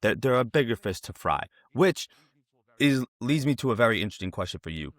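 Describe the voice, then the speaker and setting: A man's voice: mid-range voice, lively intonation, brisk, no echo. A man in his twenties hosting a casual sports interview, talking in a rambling, digressive way. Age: 20s.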